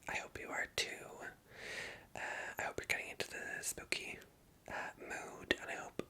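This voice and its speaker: Hushed whispering ASMR chatter. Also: moderate pace. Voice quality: soft, unvoiced whisper. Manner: rambling, chatty, addresses viewers directly. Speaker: ASMR video creator and storyteller. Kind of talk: ASMR whispered casual chat.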